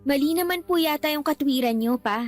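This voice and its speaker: A woman plays a defiant young adult daughter in a radio drama. Her high voice is reproachful and outspoken as she talks back. Music plays faintly behind her. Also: brisk, close to an everyday voice. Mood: concerned, reproving. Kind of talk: Tagalog radio drama acting.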